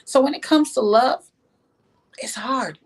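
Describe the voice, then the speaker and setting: A woman's voice: sits high, takes it slowly, gravelly. A woman from Jamaica giving opinions in a podcast discussion, speaking emphatically and repeating phrases to drive them home. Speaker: podcast guest.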